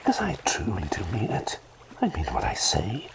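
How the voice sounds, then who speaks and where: A man playing a gentle, flustered plague doctor in a whispered ASMR roleplay, soothing his patient with halting, repeated reassurances close to the microphone. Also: fairly low pitch, huge pitch swings, low-quality recording. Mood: gentle, eager.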